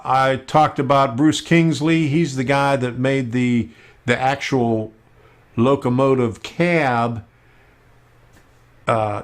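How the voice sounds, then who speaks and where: Slow-talking man hosting a live stream. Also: mid-range voice. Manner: slow, unhurried, with many fillers while working on screen. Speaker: host of a model railroading live stream. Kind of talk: casual live-stream chat.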